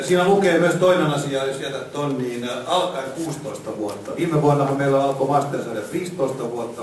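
A man giving an informal presentation to a meeting. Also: medium pitch. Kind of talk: informal presentation of a competition calendar. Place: indoor meeting room, camera in the audience. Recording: echoey meeting room, distant mic, noisy.

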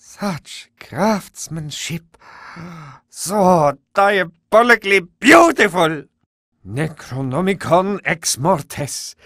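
An actor playing a pompous, diabolical mad scientist with a German accent in a video game, gloating melodramatically. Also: male voice, high-pitched, animated, slow pace, a bit bright, no room sound, studio quality, full character voice. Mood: gloating, reverent. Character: grandiose, diabolical male mad scientist doctor. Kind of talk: theatrical video game villain acting.

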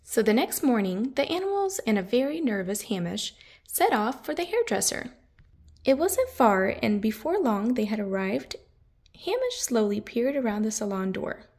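A woman's voice: on the high side, very animated, normal speed, darkish tone. A woman reading a children's picture book aloud, expressively, drawing out the descriptive words for emphasis. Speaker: children's story narrator.

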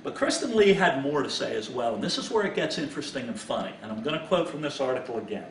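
A middle-aged man delivers sports commentary in an informal, teasing way, at a medium pitch. He is recorded in a small, echoey room, a little away from the microphone, with some noise behind his voice. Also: sing-song, quick pace. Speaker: sports analyst. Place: small indoor home office. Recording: roomy, distant mic, background hiss.

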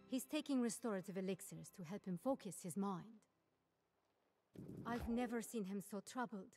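A woman's voice: medium pitch, lightly acted. An actress voicing a devoted, earnest female scholar in a video game, speaking expressively and articulately. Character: warm, devoted female scholar keeping a refuge library. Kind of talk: video game character voice acting.

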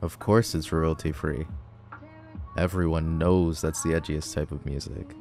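Male actor as teen delinquent boy, low gravelly voice, sarcastic, teasing, grumpy, audio roleplay. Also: fairly even intonation, a little slow, darkish tone, soft background music. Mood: gruff, teasing. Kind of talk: boyfriend ASMR audio roleplay.

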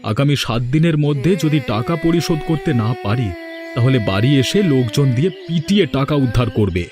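An actor playing a troubled, fatalistic poor village eldest brother in a cartoon, earnest and grave. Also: male voice, mid-range voice, animated, a little fast, over music, lightly acted. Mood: gloomy, resigned. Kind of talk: cartoon moral story voice acting.

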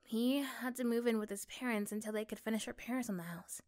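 Woman performing a loving young girlfriend, high voice, soft-spoken, reassuring, intimate ASMR roleplay. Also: brisk, lightly acted. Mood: storytelling. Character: affectionate, reassuring young girlfriend chatting intimately to her partner.

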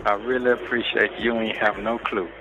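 A man's voice: fairly low pitch, level tone, brisk. Man on a noisy, muffled jail phone call. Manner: profane, flirtatious, repetitive. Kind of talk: intimate casual phone chat.

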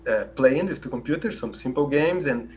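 A Brazilian-accented man in his forties answers interview questions slowly and hesitantly, with many "uh"s and restarts. His pitch sits in the middle for a man. A faint hum runs under his boom-arm mic. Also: lively intonation, darkish tone. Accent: Brazilian Portuguese. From southern Brazil. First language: Portuguese. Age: 40s. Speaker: guest. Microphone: large studio mic on a boom arm.

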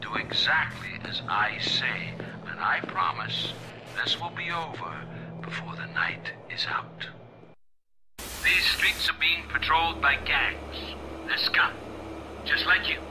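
An actor playing a sadistic snuff-film director in a video game, coaxing and menacing in a theatrical way. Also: male voice, on the high side, lively intonation, takes it slowly, in a whisper, rough recording. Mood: coaxing, controlling. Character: sadistic male snuff-film director ordering a captive around.

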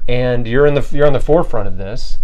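A man's voice: medium pitch, fast, darkish tone, low-quality recording. A man in his forties with an ordinary mid-range voice talks eagerly and loosely as an interview host. He leans on fillers like "like" and "you know." The sound is close and clean from a lapel mic in a small studio. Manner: enthusiastic, conversational, lots of "like" and "you know". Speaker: host, cancer survivor. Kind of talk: casual interview talk. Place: indoor studio set, seated on a couch.

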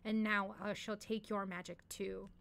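A woman's voice: medium pitch, little pitch movement, a little slow, gravelly, fairly bright tone, clean tone. Woman acting a savage, boastful undead sorcerer. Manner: menacing, grandiose, emphatic.

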